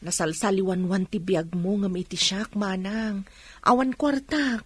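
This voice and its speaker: Struggling village mother played by a woman, Ilocano accent, husky mid-range voice, weary and firm, dry radio drama. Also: mid-range voice, a little slow, no echo. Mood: weary refusal.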